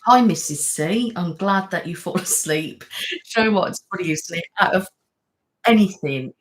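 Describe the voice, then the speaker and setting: A woman's voice: lowish voice, moderate pace. A woman hosting a casual live-stream chat, rambling, laughing often and thanking viewers by name. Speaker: ASMR channel host.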